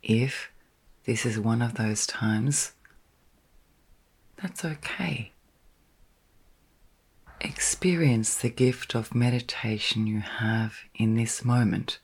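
A woman leading a guided sleep meditation in a soft, breathy, partly whispered voice, gently soothing, with long pauses between short phrases. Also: a bass voice.

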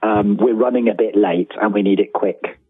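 Husky low-voiced man on a tinny line. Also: fairly even intonation, moderate pace. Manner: rambling, self-deprecating, trailing restarts and quoted asides. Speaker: interview guest, freelance writer. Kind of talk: casual interview chat. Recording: tinny phone-like line with room echo.

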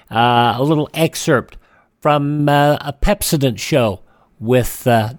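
Male radio host, mid-pitched, genial, courteous phrasing, close dry mic. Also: no echo. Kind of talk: conversational radio presenting.

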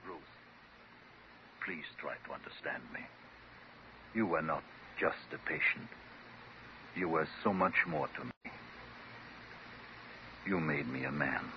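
An actor playing a pompous, defensive psychiatrist, speaking in a formal, measured, hesitant way in a 1950s radio drama. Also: male voice, rumbling low. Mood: pleading. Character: pompous, bearded male psychiatrist, measured, defensive under threat. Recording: dull, hissy old 1950s radio recording.

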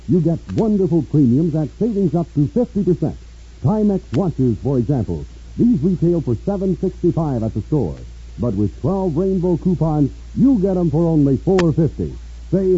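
A man giving a folksy 1950s radio advertising pitch, addressing listeners directly. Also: husky. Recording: dull, muffled old radio recording.

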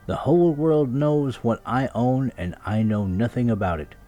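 A man's voice: mid-range voice, normal speed, warm tone, faint music behind, lightly acted. A man voicing an imperious, easily affronted emperor in a storybook, pompous and insistent. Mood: indignant.

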